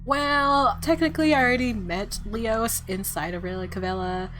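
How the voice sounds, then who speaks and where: A woman giving casual, rambling, excitable commentary on a game as she plays. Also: a little high, normal speed, rough recording. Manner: rambling, excitable, laughs often. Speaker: game streamer. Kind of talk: casual playthrough commentary and reading aloud. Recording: music playing behind voice. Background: visual novel game music.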